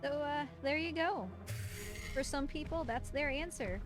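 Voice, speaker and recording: A woman's voice: sits high, measured pace. A woman giving chatty, playful, casual commentary on her own livestreamed speedrun. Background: game music.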